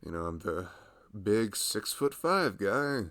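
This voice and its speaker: A man playing a big, caring husband in an intimate ASMR roleplay, talking softly and fussing affectionately over his pregnant wife. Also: lowish voice, sing-song, slow, exaggerated voice. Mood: tender, tired. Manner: soft, tender, fussing, playful.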